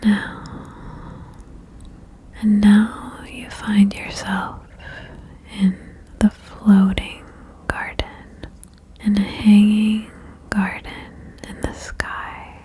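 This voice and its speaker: A woman whispering a guided ASMR meditation in a breathy, soothing way, with gentle instructions and long pauses. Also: mid-range voice, completely flat delivery, rough recording. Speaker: ASMR host guiding a relaxation game.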